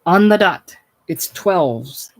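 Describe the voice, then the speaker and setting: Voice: medium pitch, normal speed, natural voice. A reader doing a hearty pushy salesman. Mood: jovial. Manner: jovial, cajoling, raised and bright. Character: hearty pushy male salesman, falsely cheerful.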